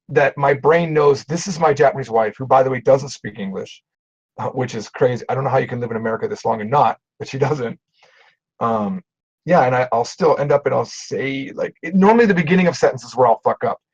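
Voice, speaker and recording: A middle-aged man with a gravelly, husky voice talks over a video call in a loose, animated way, laughing at himself. The sound is muffled and lo-fi, like a streamed call. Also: medium pitch. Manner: animated, self-deprecating, swears casually. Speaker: Japanese teacher and show host. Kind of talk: casual livestream conversation.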